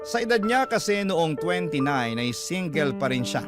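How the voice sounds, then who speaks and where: Loud music runs under a young Filipino man's fairly high voice. He narrates in the first person as an aggrieved younger brother, in the confessional style of a radio drama. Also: very animated, normal speed. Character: aggrieved younger brother telling his story. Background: loud music bed.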